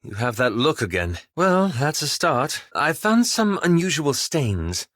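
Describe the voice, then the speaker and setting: A man playing a reserved, scholarly, self-doubting young elf adventurer in a video game, speaking in a formal, measured, wryly understated way. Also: on the high side, big pitch swings, a bit bright, no echo, studio quality. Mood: wry, curious. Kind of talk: video game companion dialogue.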